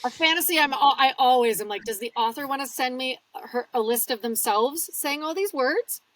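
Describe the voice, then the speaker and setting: A woman's voice: high-pitched, normal speed, a bit bright, far from the mic, low-quality recording. A woman audiobook narrator, talking casually and explaining her work in an interview, laughing easily. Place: home studio.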